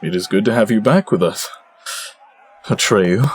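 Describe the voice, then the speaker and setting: An actor playing a resolute, commanding leader of warriors in a fantasy audio drama. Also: male voice, fairly low pitch, a little slow, a faint crowd, slight background noise, lightly acted.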